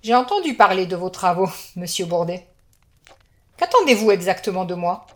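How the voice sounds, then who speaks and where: An actress playing a cold, haughty sorceress with an amused, faintly scornful way of talking. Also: female voice, mid-range voice, animated, normal speed, natural voice. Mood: cool. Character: cold, mocking female sorceress.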